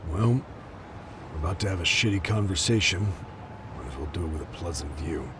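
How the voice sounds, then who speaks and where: An actor playing a jaded middle-aged police detective in a video game dub, blunt, sardonic and weary. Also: male voice, sits low, expressive, a little fast, noisy background.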